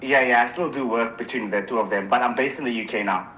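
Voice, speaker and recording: A woman from Namibia with a South African accent and an extremely low voice, speaking as a client on a call. She talks hesitantly, often repeating words. A faint background hiss sits under her voice. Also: quick pace, a bit bright, rough recording. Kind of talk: casual phone consultation.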